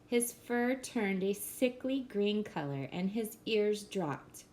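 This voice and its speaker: A woman reading a children's picture book aloud in a gentle storybook manner. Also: mid-range voice, animated, slow pace, slight background noise.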